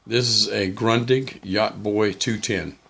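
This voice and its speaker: A middle-aged man casually explaining and demonstrating as a hobbyist vlogger, in a plain-spoken, unhurried way. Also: on the low side, steady tone, vocal fry, a bit bright, slightly rough. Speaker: vlogger, shortwave radio hobbyist.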